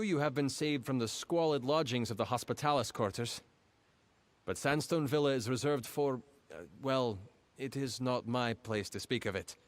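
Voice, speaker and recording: A man's voice: medium pitch, no echo, close to an everyday voice. An actor playing an officious, fussy male gnome clerk in a fantasy game, with a pompous, formal manner. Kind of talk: scripted fantasy game dialogue.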